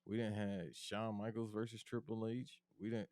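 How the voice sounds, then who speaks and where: A man with a very low, bass-heavy voice, talking wrestling on a podcast. He speaks in an animated, emphatic, slangy way. The sound is close and dry, with no room around it. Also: moderate pace, dry recording. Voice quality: deep bass voice. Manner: animated, emphatic, slangy, calls his cohost bro. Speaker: podcast co-host. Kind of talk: casual wrestling chat.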